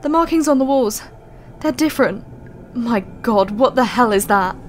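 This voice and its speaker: A woman's voice: fairly high pitch, lively intonation, normal speed, slight background noise, natural voice. A woman playing a blunt, frightened young woman on an expedition in an audio play, speaking colloquially. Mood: uneasy. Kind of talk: dramatic audio play dialogue. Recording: music under the voice. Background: dramatic music.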